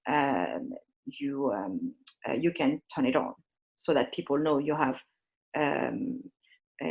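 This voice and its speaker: A woman's voice: deep voice, darkish tone. Hesitant woman teaching a video-call workshop. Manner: hesitant, many ums and restarts, friendly instructional. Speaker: workshop presenter. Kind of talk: live online workshop on a shared screen.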